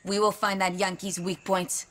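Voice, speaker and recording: An actress playing a hard-nosed guerrilla commander in a video game, giving clipped, commanding briefings. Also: female voice, mid-range voice, level tone, normal speed, natural voice. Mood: determined.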